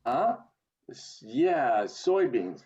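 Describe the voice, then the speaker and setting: A man's voice: medium pitch, big pitch swings, drawn-out words, darkish tone. A man acting an earnest, stubborn young small-town man in an amateur radio play, with stammering, emphatic delivery over a video call. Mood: guarded, earnest. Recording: muffled video call audio.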